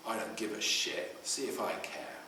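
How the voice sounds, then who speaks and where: A man's voice: fairly low pitch, moderate pace, pushed and bright. A British man voicing a fed-up, defiant teenage schoolgirl in an emphatic, grudging way while reading a book aloud. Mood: sullen. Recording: roomy, distant mic, faint noise.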